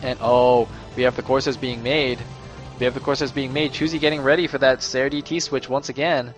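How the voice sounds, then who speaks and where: A hoarse young man doing solo play-by-play commentary on a game, chatty and rambling, jumping into excited bursts. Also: mid-range voice, normal speed. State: losing his voice, a little sick. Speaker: esports caster. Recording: music playing underneath.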